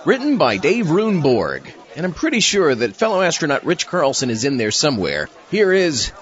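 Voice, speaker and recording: Lively male host with swooping pitch. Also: normal speed, highs cut off, crowd noise. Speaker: show host. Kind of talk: introducing an audio drama.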